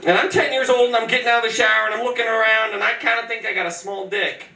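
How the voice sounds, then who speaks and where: A man's voice: sits high, quick pace, gravelly, sharp tone. A man in his twenties performing spoken word poetry on a small club stage, with a rhythmic, punchy delivery broken by chatty asides. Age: 20s. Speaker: performance poet. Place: small club stage with band gear behind. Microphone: stand mic, filmed from the back of the room. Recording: roomy distant live club recording.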